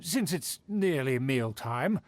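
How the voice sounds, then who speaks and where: A man's voice: medium pitch, expressive, slow pace, slight background noise. A man playing a vain, condescending aristocrat in a video game, with a pompous, formal, flowery manner. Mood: delighted, flustered. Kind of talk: theatrical video game acting.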